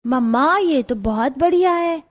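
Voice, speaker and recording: A woman voicing an excited, admiring small child in a Hindi cartoon story. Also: sits high, unhurried. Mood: delighted.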